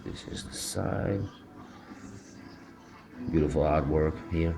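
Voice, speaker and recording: A man with a Dutch accent gives a casual, hands-on amateur review. His voice is very deep and his delivery is slow. He speaks informally and haltingly in English, which is not his native language. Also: fairly even intonation. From Netherlands. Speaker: amateur collectible toy reviewer.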